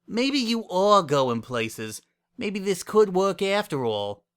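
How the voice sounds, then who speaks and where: A man's voice: high voice, big pitch swings, slow pace, clear, resonant tone, voice pushed up, exaggerated voice. A man voicing a haughty, scornful young female pony with a pompous, sniffy manner in a dramatic story reading. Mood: smug, airy.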